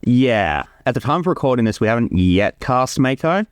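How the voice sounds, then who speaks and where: A man's voice: medium pitch, sing-song, moderate pace, no room sound. Chatty man in casual commentary, dry sound. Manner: chatty, informal, swears casually. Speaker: co-creator giving commentary on own fan series. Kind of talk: casual creator commentary chat.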